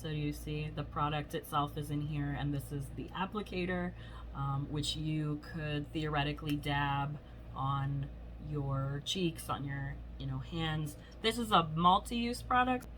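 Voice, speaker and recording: A hoarse woman chatting casually through a beauty product review, rambling with lots of fillers. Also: deep voice, measured pace, background noise. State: hoarse from illness. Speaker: beauty vlogger. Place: home.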